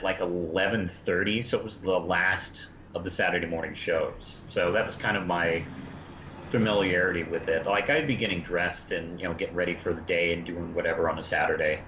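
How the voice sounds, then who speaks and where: A man's voice: low-pitched, moderate pace, fairly bright tone. A middle-aged man chatting casually as a podcast co-host, rambling through his points with frequent asides. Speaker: podcast co-host reviewing a fan audio drama. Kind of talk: casual review chat. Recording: echoey, muffled, faintly noisy room.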